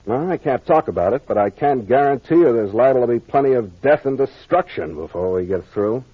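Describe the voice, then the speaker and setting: A husky American male detective in an old-time radio mystery, commanding and wry. His delivery swings with lively inflection. The recording is dull and muffled. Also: medium pitch, brisk, no echo. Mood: grave, teasing. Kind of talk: 1940s radio drama acting.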